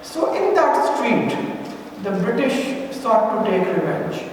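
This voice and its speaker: A man with a Pakistani accent giving an impassioned public lecture, halting and emphatic, repeating phrases as he goes. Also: high voice, big pitch swings. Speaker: speaker giving a public history talk. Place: echoey indoor hall. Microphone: distant microphone. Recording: echoey hall, distant mic, noisy.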